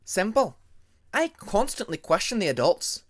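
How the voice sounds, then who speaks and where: A man voicing a reserved, proud young female dragon student who speaks formally and in measured sentences. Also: sits high, big pitch swings, fairly bright tone, voice pushed up, exaggerated voice. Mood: matter-of-fact. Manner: formal, measured, uncontracted speech.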